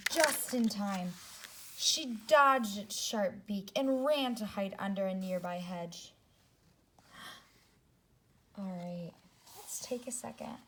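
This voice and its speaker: A woman teacher reading a story aloud to young children, speaking expressively and deliberately, with asides to her second-grade listeners. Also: mid-range voice, fairly bright tone, slight background noise.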